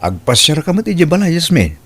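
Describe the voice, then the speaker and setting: A man's voice: a little high, very animated, brisk, fairly bright tone, breathy edge, faint music behind, exaggerated voice. A man acting an attentive, persuasive young boyfriend in an audio drama. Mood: coaxing.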